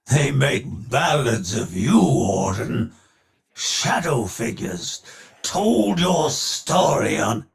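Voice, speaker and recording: An actor playing a ruthless, grandiose older industrialist villain in a video game, speaking in a theatrical, pompous and declamatory way with a gravelly voice. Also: male voice, medium pitch, exaggerated voice. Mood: taunting, awed. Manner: pompous, declamatory, slow deliberate phrasing.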